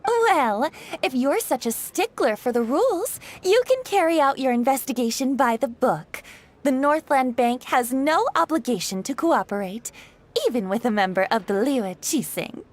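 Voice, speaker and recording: A woman's voice: high-pitched, animated, moderate pace. A woman playing a suspicious, haughty bank official with a formal, exasperated manner, in video game voice acting. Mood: defiant.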